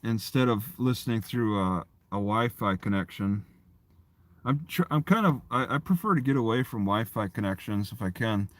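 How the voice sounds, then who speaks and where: A man's voice: on the low side, expressive, dry recording. A man chatting casually on a livestream, rambling and often restarting his phrases. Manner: halting, repeats and restarts phrases. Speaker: live streamer.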